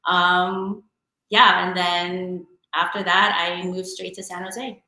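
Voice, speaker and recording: A woman in her forties talks as an interview guest, chatty and easygoing, with frequent fillers and restarts. Her voice is bright and forward. She sounds like she is on a video call in an echoey room. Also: fairly low pitch, flat delivery, slow pace. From San Francisco Bay Area, California. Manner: chatty and warm-hearted, many fillers and restarts. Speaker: guest entrepreneur and DJ. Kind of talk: casual interview chat.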